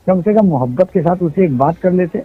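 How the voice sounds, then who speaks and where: Husky man on a tinny phone line. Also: sits high, animated, a little fast. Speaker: phone interviewee giving his account. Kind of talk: explanatory phone conversation. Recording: muffled, tinny recorded phone call.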